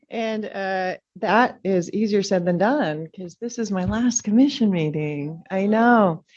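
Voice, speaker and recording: A middle-aged woman, a Danish native speaker, giving a reflective, halting farewell speech as chair of a public meeting. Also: medium pitch, a little mellow. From California. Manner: reflective, halting, with frequent ums. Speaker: commission chair. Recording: dull lo-fi meeting audio.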